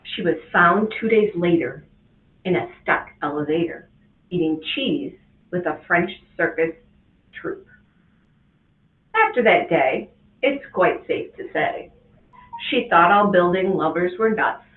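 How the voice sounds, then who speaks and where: Woman slowly reading a picture book. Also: lowish voice. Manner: expressive, sing-song rhyming delivery. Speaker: guest reader, school administrator. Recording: tinny, echoey, from a distance.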